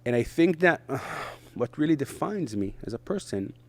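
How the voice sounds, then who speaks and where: A middle-aged man from Israel, a native Hebrew speaker, talking in English as an interview guest in short, halting phrases with frequent restarts. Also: medium pitch, very animated. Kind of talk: conversational interview.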